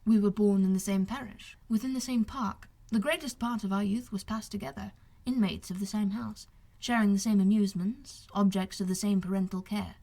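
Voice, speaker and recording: Audiobook role: self-pitying young officer read by a woman, husky, mid-pitched, formal and confiding. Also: steady tone, brisk, dark tone, lightly acted. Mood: earnest. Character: wronged young gentleman officer, smooth-talking, self-pitying. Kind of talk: audiobook character dialogue.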